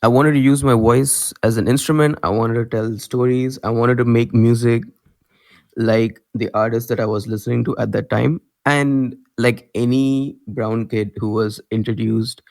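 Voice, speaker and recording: Young guy w indian accent, chill and chatty, laughs a lot, mid pitch. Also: moderate pace, vocal fry. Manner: relaxed, laughs easily, loose filler-heavy phrasing. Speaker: guest musician. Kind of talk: casual interview talk.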